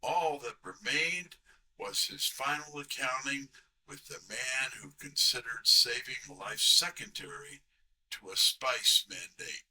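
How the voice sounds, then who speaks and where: An actor playing a wry, wisecracking private detective in a full-cast audio drama, speaking deliberately. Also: male voice, mid-range voice, pushed and bright, a little hiss or hum, heavily acted, poor audio. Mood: wry, reflective. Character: brisk, wisecracking male private detective, Nero Wolfe's sidekick.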